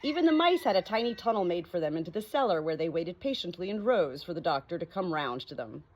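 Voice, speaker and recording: A woman reading aloud as an audiobook narrator in an expressive storybook style. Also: on the low side, fast. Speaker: audiobook reader. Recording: clearly noisy home recording.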